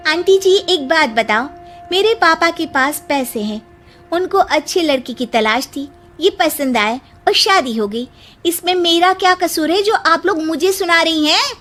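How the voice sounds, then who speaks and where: A woman's voice: high voice, animated, moderate pace, a bit bright, voice pushed up. A woman voicing a short, spirited, self-assured young daughter-in-law in a moral-story cartoon, speaking in an emphatic, outspoken manner. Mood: indignant, defensive.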